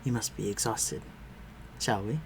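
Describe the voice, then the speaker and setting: Man acting as a protective male vampire master, lowish voice, formal old-fashioned phrasing, tender and reassuring, audio drama, clear ambient noise behind. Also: a little slow. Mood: calm, caring. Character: courtly, protective male vampire lord, gentle with his human. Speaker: voice actor. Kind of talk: dramatic audio roleplay addressed to the listener.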